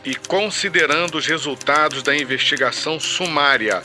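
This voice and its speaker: A cold Brazilian government official reading a decree. The voice is slightly high and formal, with music and typing behind. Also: male voice, moderate pace, bright, forceful tone. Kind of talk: formal read-out in radio drama.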